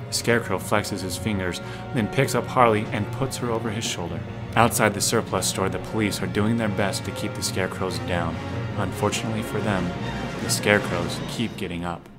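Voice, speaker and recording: Low-voiced male narrator over music. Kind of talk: scripted action narration for an audio drama.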